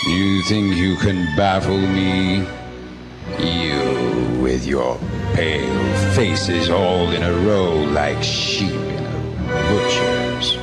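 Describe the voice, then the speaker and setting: An actor playing an ancient vampire count, a gloating villain who declaims slowly and commandingly in a radio drama. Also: male voice, extremely low pitch, heavily acted. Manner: slow, commanding, sinister declaiming. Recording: radio play with loud music bed.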